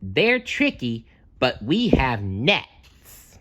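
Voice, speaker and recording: A woman voicing an excitable, naive male cartoon sea sponge in a storybook, earnest and dramatic in delivery. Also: rumbling low, drawn-out words, heavily acted. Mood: suspicious, urgent.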